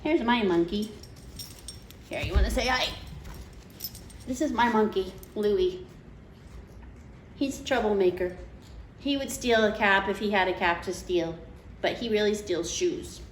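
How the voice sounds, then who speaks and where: A woman's voice: mid-range voice, unhurried, pauses often. A woman reading a picture book aloud to children as storytime host, dramatic and full of questions and chatty asides to her listeners. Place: indoor room at home. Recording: roomy echo, faint background noise.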